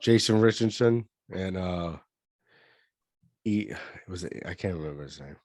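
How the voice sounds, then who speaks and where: A man's voice: low voice, expressive, a little slow, darkish tone, dry recording, studio quality. A man from Puerto Rico hosting a podcast in a casual, slangy, informal way. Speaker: podcast host. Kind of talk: casual chat.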